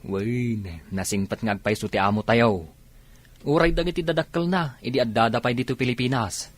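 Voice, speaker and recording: A man's voice: medium pitch, fairly quick, close to an everyday voice. A man playing an easygoing, teasing family driver in a radio drama.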